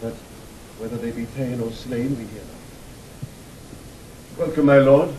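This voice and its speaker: A man's voice: mid-range voice, unhurried, mellow, close to an everyday voice. An actor with a British accent playing a stern, authoritative king in a classical Shakespearean audio drama. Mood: regal, measured. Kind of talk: classical Shakespearean radio acting. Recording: echoey, distant, faintly noisy 1960 recording.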